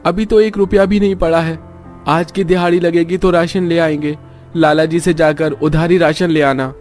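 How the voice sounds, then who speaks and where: A man's voice: a little high, fairly even intonation, normal speed, darkish tone, soft background music, natural voice. A man playing a naive, eager young labourer in a cartoon family drama, speaking in an earnest, persuasive way. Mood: matter-of-fact.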